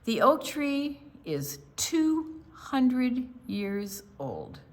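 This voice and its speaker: A woman reading a picture book aloud to young children as a storytime reader, with deliberate, expressive delivery and casual asides about the pictures. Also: mid-range voice.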